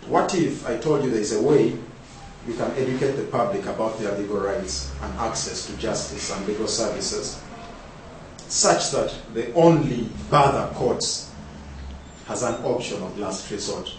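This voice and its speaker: A man's voice: on the low side, moderate pace, slight background noise. A man from Uganda giving a conference presentation, building his points with repeated rhetorical questions. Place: large conference hall. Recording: echoey hall, speaker far from mic.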